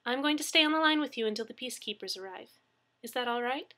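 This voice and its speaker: A woman narrator voices a female dispatcher in an audiobook story. She is composed and courteous, speaking in a businesslike way with a bright, crisp edge. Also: a little high, animated, fast talker, pitched above the performer's own voice. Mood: calm, professional. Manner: polite, clear, procedural.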